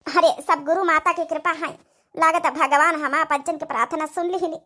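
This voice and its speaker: A woman's voice: very high voice, steady tone, fairly quick, bright, forceful tone. A woman voicing a devout old village mother-in-law in a cartoon, exclaiming emotionally with an Awadhi accent. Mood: relieved, devout. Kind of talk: cartoon family drama acting.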